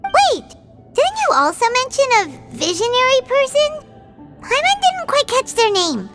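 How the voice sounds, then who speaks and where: Squeaky, childlike little fairy companion. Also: female voice, a little slow, heavily acted. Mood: alarmed. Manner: excitable, refers to self in third person. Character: tiny excitable childlike female fairy companion. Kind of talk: video game cutscene acting. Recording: background music under the voice.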